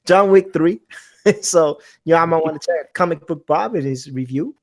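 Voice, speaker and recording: A man's voice: a little high, moderate pace, a little mellow. A man with a Puerto Rican accent co-hosting a casual livestream chat, reading out viewer messages and rambling with many restarts.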